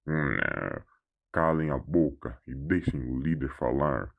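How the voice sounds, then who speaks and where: A man voicing a gruff, hot-tempered alien warrior commander in an audio drama, growling around his lines. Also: very deep voice, lively intonation, slow pace, pitched below the performer's own voice, heavily acted. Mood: angry, commanding. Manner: growls before and after speaking.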